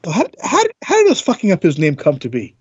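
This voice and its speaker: A man bantering bluntly and profanely, repeating himself, with a husky voice and a speech impediment. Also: fairly high pitch, wildly swooping pitch, fairly quick, dry recording. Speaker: co-host. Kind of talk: casual banter. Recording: dry, slightly dull lo-fi sound.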